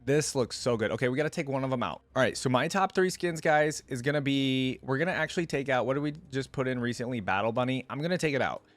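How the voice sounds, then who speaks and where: A man's voice: mid-range voice, fast talker, few pauses, no echo. A man giving casual, informal reaction commentary, enthusiastic and thinking aloud as he goes. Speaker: reaction video host.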